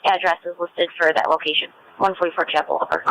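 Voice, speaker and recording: A man's voice: fairly high pitch, big pitch swings, fast, a bit bright, no room sound, rough recording. A man giving a procedural report over police two-way radio.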